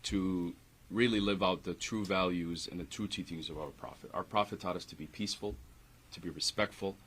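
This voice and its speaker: A man answering press questions as a community advocate, measured with halting starts. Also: deep voice, fairly bright tone, breathy edge.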